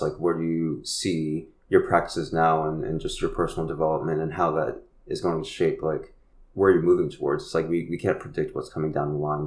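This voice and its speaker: Very deep-voiced man in an echoey room. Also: a little mellow. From United States. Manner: earnest, rambling, repeats words. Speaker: podcast host. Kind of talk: casual conversation.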